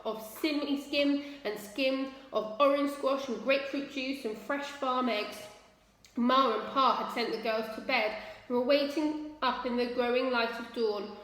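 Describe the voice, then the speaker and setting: Woman, children's storyteller, expressive reading, high voice, long reverb. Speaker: school staff storyteller. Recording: very echoey room with long reverb.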